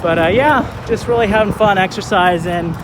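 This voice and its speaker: A man in his thirties talking casually in a vlog, relaxed and rambling with lots of fillers, recorded outdoors while skating. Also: high-pitched, normal speed, background noise. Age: 30s. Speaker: vlogger.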